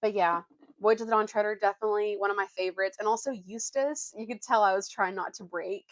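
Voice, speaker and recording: A young woman vlogger with a medium-pitched voice, recorded dry. She moves between storybook narration and casual, informal chat with youthful phrasing. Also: quick pace, no echo. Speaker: vlogger reading books aloud.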